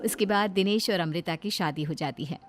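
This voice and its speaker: A woman's voice: fairly low pitch, normal speed, soft background music. A woman reading scripted narration as a storyteller.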